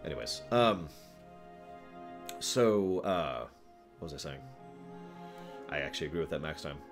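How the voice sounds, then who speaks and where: A man's voice: rumbling low, expressive, a little slow, over music. A man hosting a livestream, chatting casually and digressively with emphatic stresses as he gives his opinions. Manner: digressive, talks to live chat, emphatic stresses. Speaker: livestream host. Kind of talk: casual rambling stream chat, opinion commentary.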